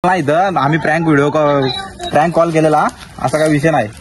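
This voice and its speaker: A man's voice: a little high, fairly even intonation, quick pace, crowd noise. A young man with a Maharashtrian accent talking casually as he hosts a prank-call vlog. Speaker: vlogger making prank calls.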